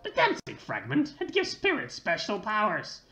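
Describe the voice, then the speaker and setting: A woman's voice: medium pitch, wildly swooping pitch, moderate pace, bright, forceful tone, soft background music, voice pushed up. A woman voicing a smug, sinister male villain from a video game, with an oily, mocking sing-song delivery. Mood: gloating.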